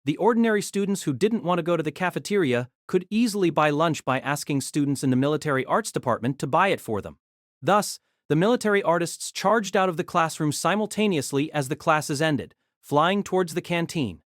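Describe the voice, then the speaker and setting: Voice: mid-range voice, expressive, fairly quick, no echo, clean studio sound. A narrator reading scripted light-novel story prose for an audio drama.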